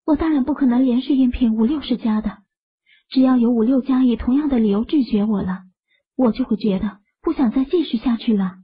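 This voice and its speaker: Frightened young woman, breathy husky voice, audio drama, slightly muffled sound. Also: on the high side, fairly quick. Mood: puzzled. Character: anxious young woman adrift at sea.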